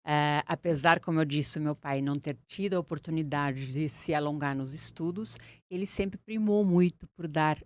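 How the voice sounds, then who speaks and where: Earnest woman, lowish voice. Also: darkish tone. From Portugal. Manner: earnest, deliberate, reflective. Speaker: guest, criminal lawyer. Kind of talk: interview conversation.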